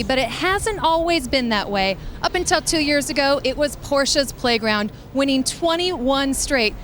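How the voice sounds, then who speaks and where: A woman's voice: sits high, a bit bright, a little hiss or hum. A woman reading a scripted feature segment as a pit reporter on a race broadcast.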